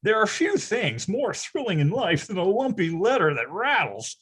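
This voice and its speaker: A performer playing an excitable, grandiose narrator recalling a nine-year-old's boyhood in a theatrical readers theatre style. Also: medium pitch, normal speed, husky.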